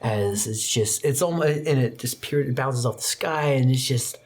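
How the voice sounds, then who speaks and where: A game master narrating a tabletop roleplaying game in an improvised, descriptive, halting way. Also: medium pitch, a little mellow. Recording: music behind the voice. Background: background music.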